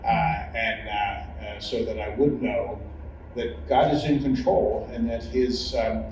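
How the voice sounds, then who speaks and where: A middle-aged or older man, a former church leader, giving reflective personal testimony in a humble, hesitant manner full of pauses. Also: on the low side, fairly even intonation, darkish tone. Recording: echoey room, distant mic, clear noise.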